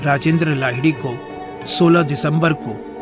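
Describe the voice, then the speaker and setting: A man's voice: fairly high pitch, unhurried. A man narrating a historical radio drama in a solemn, emphatic, scripted style. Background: music.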